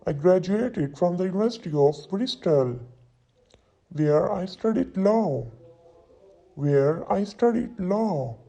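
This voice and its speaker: A man in his twenties voicing a young London solicitor who introduces himself, saying each sentence twice slowly for learners to repeat. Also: sits high, creaky, mellow, heavily acted, poor audio. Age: 20s. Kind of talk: slow repeat-after-me drill reading.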